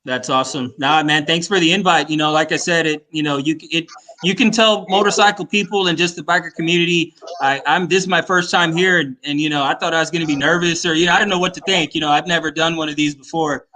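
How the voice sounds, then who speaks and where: A man in his mid-thirties talks in a loose, friendly ramble, full of "you know" and restarts. His voice sits a touch high and sounds bright and forward. He comes across as a relaxed guest on a casual chat show. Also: fast, rough recording. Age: 30s. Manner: easygoing, rambling with many fillers.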